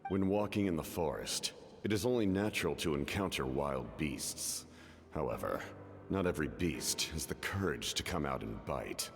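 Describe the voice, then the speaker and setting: A very deep, gravelly, commanding villain. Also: male voice, expressive, big-room sound, faint music behind, heavily acted. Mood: coolly menacing. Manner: measured, guarded, formal, imposing. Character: cunning, cold, commanding man, a wounded Fatui officer. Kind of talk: dramatic video game voice acting.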